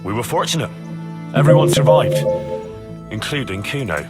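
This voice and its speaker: An actor playing an earnest, principled young soldier who argues loyally and challengingly in a video game. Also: male voice, medium pitch, expressive, moderate pace, over loud music, natural voice. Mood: respectful, plain. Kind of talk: dramatic video game dialogue.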